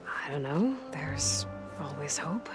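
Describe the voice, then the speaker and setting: A steady, determined young huntress in a cinematic game scene. Her voice is fairly low, and she talks plainly and calmly to reassure. Music is clearly audible behind her. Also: slow, heavily acted. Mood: gently hopeful. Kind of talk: cinematic video game acting.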